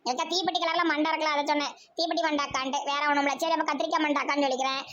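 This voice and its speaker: Woman doing a bright, high-voiced bossy cartoon mother. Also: steady tone, rapid-fire, dull audio, a little hiss or hum. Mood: teasing. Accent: southern Tamil Nadu. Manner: brash, chattery, mocking. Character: bossy, teasing, sharp-tongued village mother. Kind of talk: amateur cartoon comedy voice acting.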